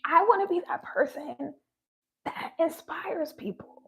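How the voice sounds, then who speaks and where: A woman's voice: very high-pitched, expressive, measured pace, creaky, sharp tone. A woman giving a heartfelt spiritual talk, her voice shaky and sounding close to tears. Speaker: spiritual vlogger.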